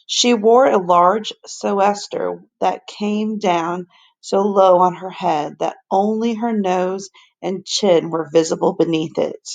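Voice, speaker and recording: A woman reading a children's story aloud like a teacher, with measured, deliberate, expressive narration. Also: on the low side, creaky.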